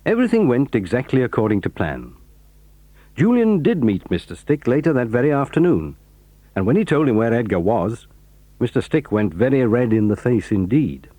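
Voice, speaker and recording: A man with a British accent narrating a children's story in a measured storybook style. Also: medium pitch, very animated, warm tone, no echo.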